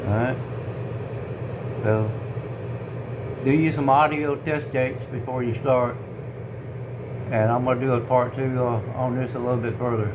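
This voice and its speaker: A man giving an informal hands-on tutorial in a halting, plain-spoken, self-deprecating way, recorded indoors on a handheld device. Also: medium pitch, steady tone. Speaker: hobbyist video tutorial presenter. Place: indoors at a home radio bench. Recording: clear background noise, room ambience.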